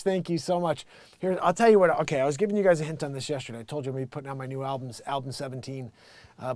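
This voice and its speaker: An American man chatting casually to livestream viewers as a musician host, in an excitable, rambling, hyped-up way. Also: on the high side, dry recording, clean studio sound. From United States. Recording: loud music behind the voice.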